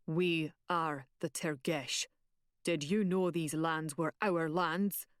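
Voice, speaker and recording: A woman's voice: deep voice, steady tone, clear, resonant tone, no echo, studio quality, pitched below the performer's own voice. A woman putting on a stern, commanding warrior chief. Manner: slow, imperious pronouncements.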